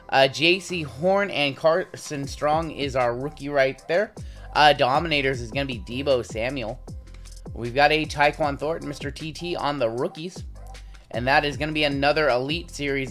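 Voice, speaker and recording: A man in his thirties with a sore throat and a slightly raspy voice, chatting casually through a pack opening. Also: medium pitch, moderate pace, faint music behind. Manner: relaxed, chatty running commentary with frequent "uh"s. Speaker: card-breaking channel host. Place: indoor home studio with logo backdrop.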